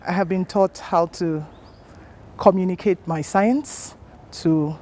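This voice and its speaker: A woman's voice: fairly low pitch, slow, mellow, a little hiss or hum. A woman, a trainee scientist speaking in a composed, articulate way in an interview. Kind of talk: interview testimonial.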